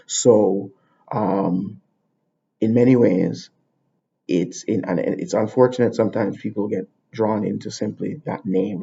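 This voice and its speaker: A low-voiced man with a gravelly, husky edge talks through his ideas without a script. He thinks aloud and often loops back over his own words. The sound is dull and lo-fi. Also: mellow. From Jamaica. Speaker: podcast host. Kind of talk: unscripted explanatory monologue. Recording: dull lo-fi recording.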